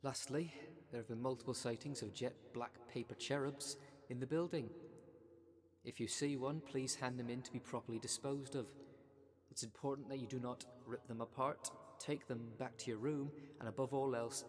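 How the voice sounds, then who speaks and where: A man's voice: mid-range voice, steady tone, natural voice. Man as a grave cult preacher in a reverberant hall. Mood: formal, deadpan. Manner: measured, grandiose, earnest oratory. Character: solemn, zealous demon-cult leader preaching to followers. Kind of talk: sermon in an audio roleplay. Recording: long reverb of a large hall.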